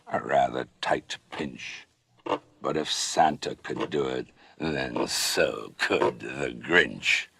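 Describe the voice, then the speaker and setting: A man narrating a rhyming storybook in an expressive, sing-song way. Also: very deep voice, vocal fry. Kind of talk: storybook reading in rhyme.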